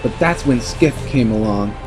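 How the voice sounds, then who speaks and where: A man's voice: medium pitch, expressive, moderate pace, darkish tone, faint music behind, natural voice. A man playing a confident, kindly champion sailor, earnest and encouraging, in an amateur audio drama. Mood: relieved, proud.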